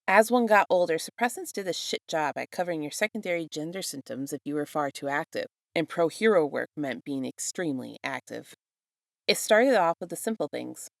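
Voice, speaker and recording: Low-voiced woman reading fan fiction, close and dry. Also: vocal fry, dry recording. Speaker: podfic reader. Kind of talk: scripted fan fiction narration.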